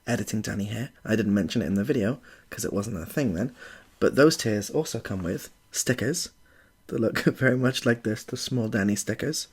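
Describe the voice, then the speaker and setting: A man's voice: fairly low pitch, lively intonation, normal speed, husky. A British man talking casually and informally to his viewers as a video creator.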